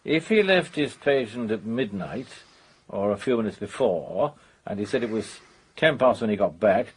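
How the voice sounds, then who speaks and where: An actor playing a brisk, commanding police major in a radio drama, somewhat blustering. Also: male voice, on the low side, animated, breathy edge. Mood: authoritative.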